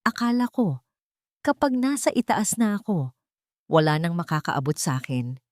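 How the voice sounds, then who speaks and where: A woman pilot muses in a soft, gentle voice, spoken by a woman narrator. Her pitch sits low but moves in a lively way. The sound is close and dry. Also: moderate pace, no room sound. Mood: reflective. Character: woman pilot, calm and reflective.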